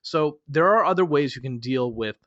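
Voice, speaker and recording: A man's voice: on the high side, lively intonation, moderate pace, fairly bright tone, no room sound, dull audio. A man in his thirties from Chicago, whose first language is Polish, teaching a speed reading lesson in a loose, conversational way with fillers and restarts. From Chicago, USA. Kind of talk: lecture to a class.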